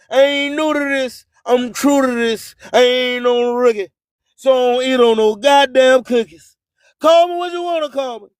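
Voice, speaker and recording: A man's voice: very high-pitched, clear, resonant tone, no room sound, clean studio sound. A man with a Southern US accent hosting a radio show in a boastful, emphatic, preacher-like rhyming monologue. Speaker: internet radio host. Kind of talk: hype radio monologue with rhythmic, rhyming spoken-word delivery.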